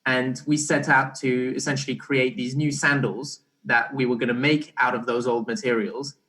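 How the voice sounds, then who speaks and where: A young man being interviewed, explaining casually in a halting way and often restarting his phrases. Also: medium pitch, little pitch movement, bright, forceful tone. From Belgian-born, partly raised in Barbados. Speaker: interview guest, startup co-founder.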